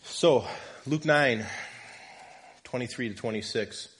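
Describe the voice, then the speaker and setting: A man's voice: medium pitch, takes it slowly, a bit bright, breathy edge. A man with a slight cold giving an informal, conversational sermon in church, joking with the congregation. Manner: conversational, jokes with congregation, self-deprecating. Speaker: lay preacher.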